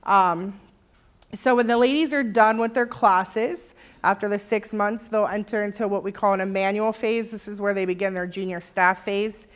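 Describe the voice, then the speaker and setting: A woman on the staff of a recovery program, giving a relaxed, informal talk to a visiting group with many filler ums. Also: mid-range voice, normal speed.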